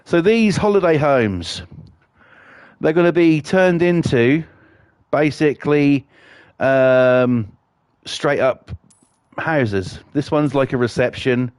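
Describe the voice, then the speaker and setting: A man's voice: a little high, slow pace, a little mellow, clean tone, no room sound, studio quality. A man with a cold, chatting casually and swearing while streaming a game, with lots of fillers. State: has a cold.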